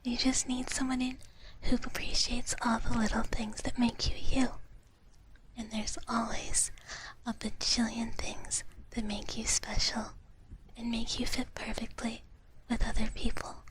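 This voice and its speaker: A woman hosting an ASMR livestream in a breathy whisper, speaking soothingly and gently repeating her phrases. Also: a little high, little pitch movement, a little slow, poor audio. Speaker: livestream host.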